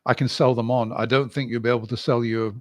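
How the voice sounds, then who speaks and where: A British man delivers an informal, opinionated monologue as the host of his own channel. His voice sits at a middling pitch, and he argues his points deliberately, thinking them through aloud. The sound is very dry, with hardly any room in it. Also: flat delivery, no echo.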